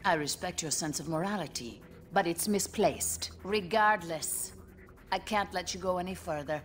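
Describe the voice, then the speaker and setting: An actress playing a cool, stern female spy in a video game, speaking in a measured way. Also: on the low side, slight background noise, close to an everyday voice. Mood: stern, reluctant. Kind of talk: video game cutscene acting.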